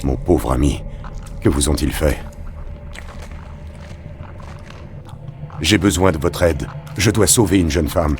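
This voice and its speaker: Deep-voiced, solemn gothic game hero. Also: male voice, normal speed, darkish tone, a little hiss or hum. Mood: grave, resolute. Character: grave adventurer hero hunting demons in a dark abbey. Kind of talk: video game voice acting, narrated observations.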